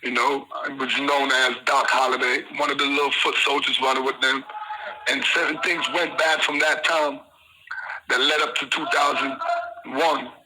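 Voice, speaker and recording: A man from Trinidad talks over a phone call, rambling through his story in loose street slang. His voice sits at an ordinary pitch. The line carries clear background noise. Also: little pitch movement, moderate pace, pushed and bright. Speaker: incarcerated guest on the phone.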